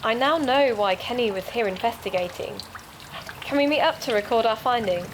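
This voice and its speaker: Eager, higher-voiced woman investigator, acted. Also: normal speed, background noise, lightly acted. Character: eager female amateur investigator sharing findings. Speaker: actor in an audio drama. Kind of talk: naturalistic audio drama dialogue.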